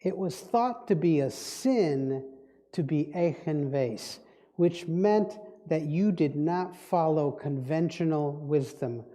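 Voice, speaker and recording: Slow, dark-voiced man. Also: fairly high pitch. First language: Dutch. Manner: reflective, musing, circles back on words. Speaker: guest speaker. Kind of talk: spiritual talk. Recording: echoey hall reverb.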